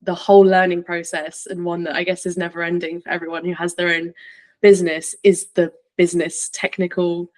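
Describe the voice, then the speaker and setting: A woman hosts a podcast interview in a relaxed, informal way. Her voice sits a little low and stays fairly flat in pitch as she chats with her guest. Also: brisk. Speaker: podcast host, yoga teacher. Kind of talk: casual conversational interviewing.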